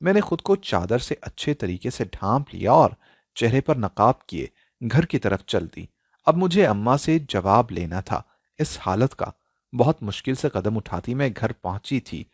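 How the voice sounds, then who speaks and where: A male storyteller with a mid-pitched voice and very lively intonation. He narrates a scripted emotional tale dramatically and expressively, in a dry recording with no room sound. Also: dry recording.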